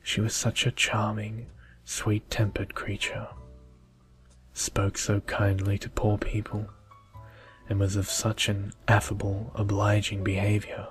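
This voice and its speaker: A man softly reading flat-toned, opinionated storybook townsfolk. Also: on the low side, close to an everyday voice. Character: gossiping townsfolk, scornful of the proud, fond of Beauty.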